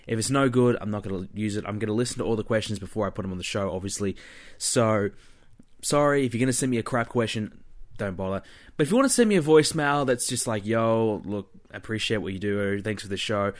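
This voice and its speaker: A man hosts a podcast on his own in a fairly low voice. He talks casually and directly to his listeners, with an informal, chatty and blunt way of putting things. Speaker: podcast host. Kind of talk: casual solo podcast talk.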